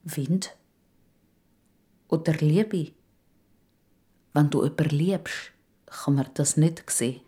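A woman voicing a kindly, tired uncle in a children's audio story, with a rough voice, slow halting delivery and a Swiss German accent. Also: low voice, mellow, full character voice. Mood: tender, thoughtful. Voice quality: rough, weary. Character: tired, kindly adult uncle, patient and humble.

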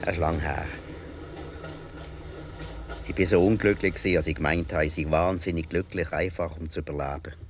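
Radio play: male actor as musing older Swiss man, very low voice, wry, faint background ambience. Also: steady tone, moderate pace, pauses often, a little hiss or hum, natural voice. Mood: wistful.

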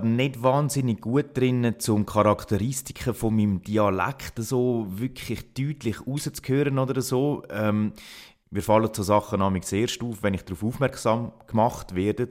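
A man from Zug with a Zug Swiss German accent thinks aloud about how he speaks. He talks hesitantly, with fillers, and his voice sits on the low side. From Zug, Switzerland. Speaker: contributor. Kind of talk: recorded personal statement.

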